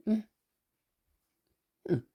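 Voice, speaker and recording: A woman playing a protective, easygoing big sister in an intimate audio roleplay, speaking in a halting, reassuring way with sighs and casual swearing. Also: high voice, warm tone, heavily acted. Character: protective, mysterious, easygoing big sister escorting a new acquaintance.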